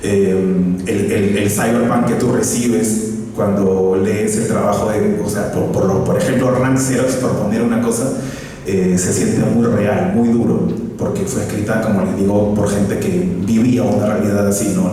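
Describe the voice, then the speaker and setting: Man, comic writer on a panel, low mellow voice, hesitant, far-off echoey hall. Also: slight background noise. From Peru. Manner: hesitant, many fillers and restarts. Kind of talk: conference panel talk. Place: conference hall.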